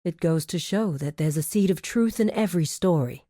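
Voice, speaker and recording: A woman playing a composed, shrewd criminal guild woman in a video game, guarded and wry, speaking in a measured, formal, articulate way. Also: fairly low pitch, mellow, lightly acted. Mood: businesslike. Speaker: video game quest-giver character. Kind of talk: scripted video game dialogue.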